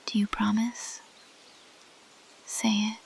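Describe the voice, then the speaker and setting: A woman voicing a possessive, lovestruck ancient plant monster girl in an intimate, husky whisper, as ASMR roleplay close to the microphone. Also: mid-range voice, level tone, a little mellow, slight background noise. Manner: slow, intimate, possessive murmuring.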